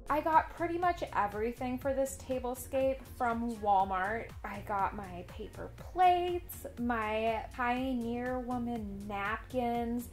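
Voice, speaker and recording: A woman in her thirties talks through her party prep in a bright, friendly home-vlog voice. Her pitch sits a little high, and light music plays underneath. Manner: upbeat, friendly, chatty. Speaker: homemaking vlogger. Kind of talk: cheerful home vlog narration. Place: living room at home.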